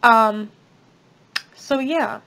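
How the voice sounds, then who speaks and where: A young woman giving a casual tarot reading at a table, chatty and reassuring, her voice slightly raspy. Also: a little high, very slow, bright, forceful tone. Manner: chatty, reassuring, many fillers. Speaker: tarot reader. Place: indoors at a table.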